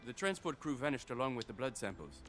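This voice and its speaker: A man's voice: mid-range voice, big pitch swings, fast talker, fairly bright tone, soft background music. An actor playing an earnest, emphatic logistics supervisor in a video game, fiercely loyal to his friends. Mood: worried, earnest.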